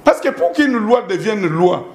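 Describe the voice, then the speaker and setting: A man's voice: high-pitched, sing-song, a little slow, a little mellow, roomy sound. A man with a Congolese French accent arguing insistently as a guest in a political interview, repeating himself and pressing points with rhetorical questions. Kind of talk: heated political argument.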